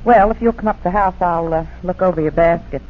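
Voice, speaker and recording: An actress playing a chatty, trusting farm wife with homespun rural speech in a 1940s radio drama. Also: female voice, on the low side, fast talker, natural voice. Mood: gossipy. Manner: folksy rural idiom. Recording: dull, noisy 1940s radio recording.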